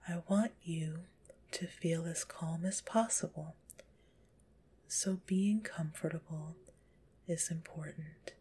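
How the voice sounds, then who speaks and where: A woman softly whispering a guided relaxation in an ASMR style, gentle and soothing, with a slightly stuffy nose. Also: low-pitched, slow, long pauses, a little hiss or hum. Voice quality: breathy whisper; stuffy-nosed. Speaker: guided visualization narrator.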